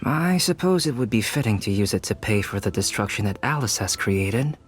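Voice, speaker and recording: An actor playing a polite, scholarly young alchemist in a video game, speaking in a measured, formal, understated way. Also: male voice, lowish voice, animated, lightly acted. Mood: calm, matter-of-fact. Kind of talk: video game cutscene acting. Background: music.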